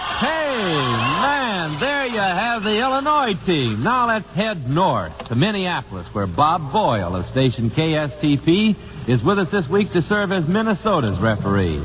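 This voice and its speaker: A man's voice: high-pitched, very animated, normal speed, few pauses, fairly bright tone, a little hiss or hum. An American man hosting a 1950s radio quiz show, chatty and direct, on an old broadcast recording.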